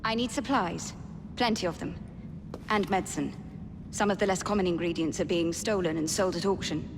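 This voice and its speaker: An actress playing an earnest, firm Victorian lady nurse in a game, with an English accent and a measured, authoritative manner. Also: on the low side, natural voice. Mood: grave. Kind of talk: video game cutscene acting. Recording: long reverb, clear background noise.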